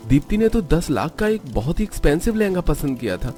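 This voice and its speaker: A man's voice: fairly high pitch, very animated, brisk, warm tone, faint music behind. A man voicing an astonished, puzzled young bridegroom in a cartoon story. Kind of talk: Hindi cartoon story dialogue.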